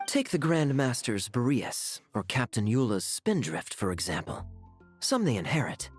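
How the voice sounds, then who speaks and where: A suave, teasing knight from a video game. His medium-pitched voice moves expressively through sly, playful phrases. Soft music plays behind him. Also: sing-song, moderate pace, husky. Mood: courteous, musing. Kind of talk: video game character dialogue.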